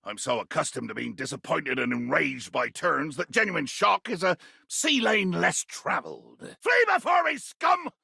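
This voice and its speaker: A man voicing a bloodthirsty, irascible pirate captain for a video game, ranting theatrically in archaic sea-dog speech with a gravelly growl. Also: fairly high pitch, big pitch swings, normal speed, bright, forceful tone, no room sound, clean studio sound, exaggerated voice. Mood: menacing. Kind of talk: video game character acting.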